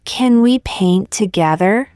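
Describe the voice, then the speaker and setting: A woman reading short practice sentences aloud for learners, saying each one twice, slowly and carefully. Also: mid-range voice, animated, darkish tone, clear, resonant tone, dry recording, studio quality. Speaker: English teacher.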